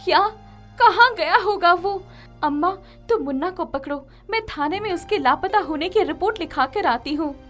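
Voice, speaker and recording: Woman acting a high, urgent young wife. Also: animated, normal speed, fairly bright tone, soft background music. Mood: worried. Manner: complaining, urgent. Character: irritable, anxious young working wife and mother. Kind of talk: dramatized moral story.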